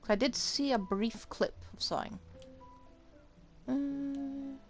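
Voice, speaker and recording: A woman's voice: high voice, takes it slowly, darkish tone, faint music behind. A woman streaming a game, giving casual commentary and musing half to herself.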